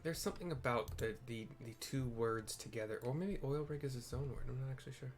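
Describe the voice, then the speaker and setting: A man's voice: mid-range voice, a little fast. A man hosting a game playthrough with casual, improvised scene descriptions, rambling and self-deprecating as he searches for words. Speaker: host of a game playthrough video.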